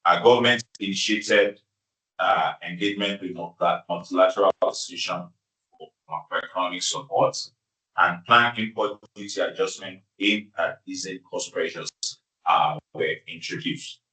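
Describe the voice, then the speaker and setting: A man, speaking as an executive presenting financial results in a formal, halting, measured way. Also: deep voice, bright, forceful tone. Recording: distant, echoey conference call audio.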